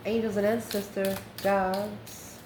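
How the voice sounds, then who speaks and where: A woman's voice: mid-range voice, flat delivery, slow pace. A woman hosting a casual, conversational tarot reading, chatty and informal with rambling asides. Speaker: tarot reader and channel host. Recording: echoey room, clear background noise.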